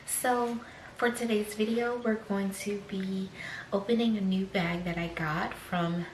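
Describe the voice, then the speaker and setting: A young woman with a slightly raspy voice talks unhurriedly through an unboxing, dropping fillers and soft whispered asides. Her voice echoes in a room at home, with a faint hiss underneath. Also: medium pitch, fairly even intonation, a little slow. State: slightly raspy from choking on a drink. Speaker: fashion vlogger. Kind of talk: casual unboxing vlog.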